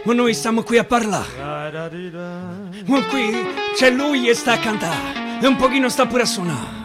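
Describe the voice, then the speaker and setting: A man's voice: extremely high pitch, measured pace. Man reciting dialect verse over guitar. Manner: repetitive, musing, emphatic phrasing. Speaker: actor. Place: radio studio. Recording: guitar accompaniment throughout.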